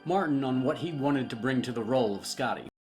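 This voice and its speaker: A man interviewing actors at a middle pitch, with faint music under his voice. He asks his questions plainly, with a few stops and fillers. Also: fairly quick, a little mellow. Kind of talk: interview questions and clip intros.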